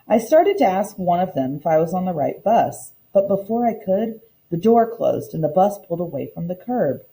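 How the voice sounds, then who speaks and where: Lady teacher reading a picture book out loud, soft mellow voice, lots of expression, careful and instructive. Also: lowish voice, poor audio. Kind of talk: expressive storybook read-aloud lesson.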